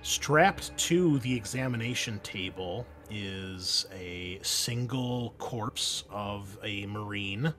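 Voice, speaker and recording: Low-voiced man running a tabletop game. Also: slow, few pauses, a bit bright. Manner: informal, conversational. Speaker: game master, host. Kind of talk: improvised narration of a tabletop roleplaying game. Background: background music.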